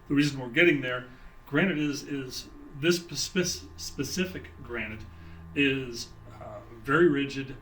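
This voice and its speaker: Bright-voiced man explaining a product, some noise. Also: mid-range voice, low-quality recording. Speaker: sales director for a loudspeaker maker. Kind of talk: informal product explanation in an interview. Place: exhibit room at an audio trade show.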